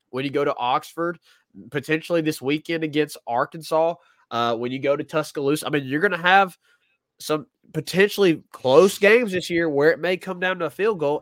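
A man with a slightly high voice, nasal and congested from a cold, chatting loosely about football. He rambles, restarts his sentences and thinks out loud. The sound is close and dry. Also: no echo. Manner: rambling, self-correcting, thinking aloud. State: stuffy from a cold. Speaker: podcast co-host. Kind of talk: casual sports talk.

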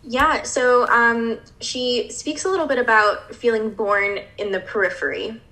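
A woman's voice: fairly high pitch, steady tone, unhurried, sharp tone, poor audio. A young woman from the United States co-hosting a discussion, upbeat and reflective, with fillers. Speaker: co-host discussing a designer interview. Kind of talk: casual conversational discussion.

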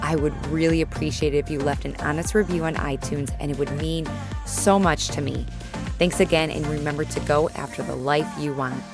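A woman's voice: low voice, steady tone, a little fast, music in the background. A woman in her thirties hosting a solo motivational podcast, speaking straight to her listeners in an encouraging, conversational way. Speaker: podcast host.

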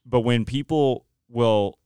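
Deep-voiced guy hosting a podcast, smooth clear voice, kinda rambly, dry close sound. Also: takes it slowly, mellow, no room sound. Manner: rambling, restarts often, self-deprecating. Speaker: podcast host. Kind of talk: casual chat.

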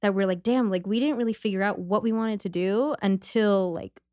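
A woman's voice: mid-range voice, fairly quick, mellow, no echo, clean studio sound. A woman in her late twenties hosting a podcast, reacting with enthusiastic slang and asking long, rambling questions. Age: late 20s. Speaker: podcast host. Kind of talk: casual conversational interview.

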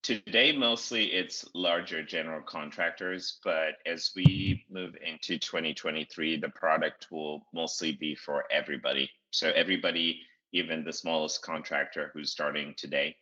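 Very deep-voiced man, bright tone. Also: fairly even intonation. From Zimbabwe. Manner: measured, with frequent "uh" pauses. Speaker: startup CEO guest. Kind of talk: interview answers. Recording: dull lo-fi audio.

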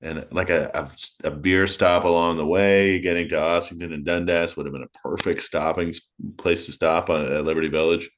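A man in his forties co-hosting a casual podcast, speaking in a fairly monotone way and poking fun at himself. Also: sits low, brisk, few pauses. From Canada. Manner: monotonous, self-deprecating. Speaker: podcast co-host. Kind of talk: casual chat.

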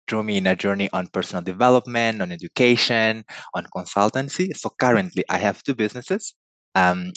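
A man's voice: on the low side, no room sound, low-quality recording. A middle-aged man from Mozambique, a native Portuguese speaker, talking earnestly as an interview guest and laughing often between thoughts. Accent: Portuguese-accented English. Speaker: guest, business consultant. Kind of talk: relaxed interview conversation.

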